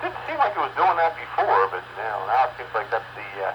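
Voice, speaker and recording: A man of middling pitch talks casually over radio with lively, swinging intonation. He grumbles and hesitates as he goes. A stock hand microphone and clear noise mark the transmission. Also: fast talker. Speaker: amateur radio operator. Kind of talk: casual on-air radio chat. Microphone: cheap stock radio microphone.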